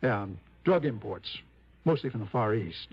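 An American actor playing a harried, grumbling insurance office manager in a vintage radio drama. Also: male voice, on the low side, animated, moderate pace, husky, no echo. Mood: grumbling, confiding. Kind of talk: 1950s-60s American radio drama acting. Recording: dull vintage radio recording.

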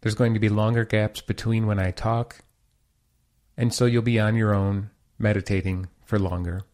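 A man leading a guided meditation as the teacher, giving calm, measured instructions. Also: fairly low pitch.

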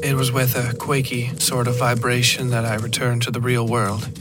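A man reading scripted horror fiction as a podcast narrator, in a deliberate, literary storytelling manner. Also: mid-range voice, monotone. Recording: prominent music behind the voice. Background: music.

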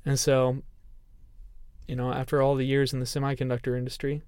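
Podcast co-host, man in his thirties, earnest and reflective, smooth voice, dry close mic. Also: medium pitch, completely flat delivery, fairly quick, a little mellow, no echo. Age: 30s. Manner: earnest, open, thoughtful. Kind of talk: casual reflective chat.

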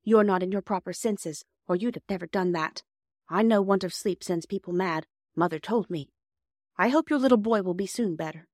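A woman's voice: mid-range voice, moderate pace, darkish tone, dry recording, clean studio sound, close to an everyday voice. A woman voicing an earnest, self-righteous little girl who speaks primly and frankly in a storybook reading. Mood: nobly forgiving.

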